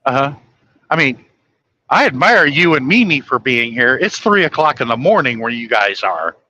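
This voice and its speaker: A man's voice: mid-range voice, sing-song, normal speed, sharp tone, a little hiss or hum, low-quality recording. A man chatting casually late at night on a live stream, blunt and griping, swearing freely.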